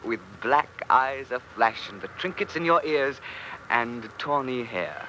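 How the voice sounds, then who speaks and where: An actor playing an ardent, guileless young country gentleman in an old radio drama, speaking excitably in quaint, lilting phrases. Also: mid-range voice, unhurried, poor audio. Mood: smitten, playful. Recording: vintage radio recording, faint noise.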